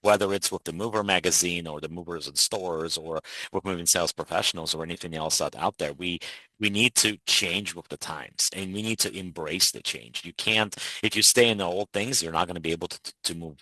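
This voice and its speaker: Man, podcast host, deep voice, bright forceful tone, dry close sound, earnest and persuasive. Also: a little fast, dry recording, rough recording. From Ukraine. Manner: earnest, persuasive, with frequent restarts. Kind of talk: casual interview chat.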